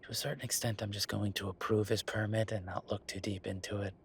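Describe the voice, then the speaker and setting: A man's voice: lowish voice, little pitch movement, fast talker, vocal fry, a little hiss or hum. A man playing an affectionate, teasing werewolf bureaucrat in an intimate romantic audio roleplay, speaking conversationally with murmured asides. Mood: wry, confiding. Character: Viktor, affectionate teasing male werewolf bureaucrat, weary but playful.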